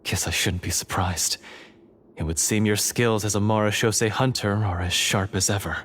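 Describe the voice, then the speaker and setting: An actor playing a troubled, bitter young hunter's heir in a video game, speaking earnestly and dramatically. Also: male voice, on the low side, normal speed, fairly bright tone. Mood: resigned.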